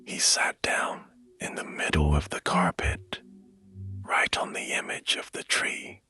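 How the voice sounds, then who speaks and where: A man narrating a bedtime story in a hushed, gentle and soothing manner, taking his time. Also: unhurried, in a whisper. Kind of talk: slow, whispered bedtime storytelling. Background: music.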